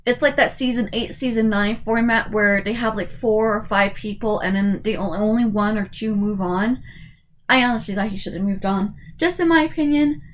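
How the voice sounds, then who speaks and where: A woman's voice: medium pitch, normal speed, slight background noise, poor audio. 20s girl rambling thru a tier list at home, lots of ums, voice kinda fading in and out. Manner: hesitant, many fillers and restarts. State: voice going in and out. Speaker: YouTuber. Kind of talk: casual tier-list commentary.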